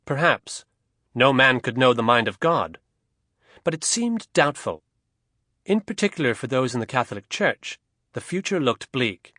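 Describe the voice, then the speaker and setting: A man narrating a historical novel as an audiobook, in a measured, expressive storytelling manner. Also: medium pitch, no echo, studio quality.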